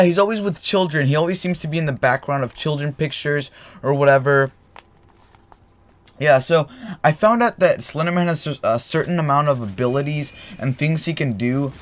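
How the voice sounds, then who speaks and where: A young man with a cold, casually telling a story while rambling with fillers and correcting himself. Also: on the high side. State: getting a cold, clears throat. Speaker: gaming channel host. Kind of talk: casual storytelling over gameplay. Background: faint game gunfire.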